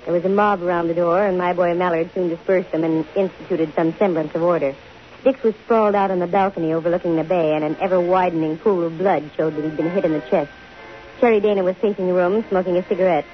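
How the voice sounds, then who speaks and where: An actress playing a dry, wisecracking, self-possessed young private detective in a 1950s radio drama. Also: female voice, lowish voice, steady tone, quick pace, faint music behind, lightly acted. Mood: cool narrating. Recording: hissy, muffled 1950 radio broadcast.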